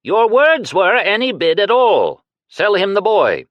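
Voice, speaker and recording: A man's voice: sits high, wildly swooping pitch, unhurried, dry recording, dull audio, pitched above the performer's own voice, full character voice. A man voicing a proud, menacing warrior lord who speaks in curt commands. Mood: commanding.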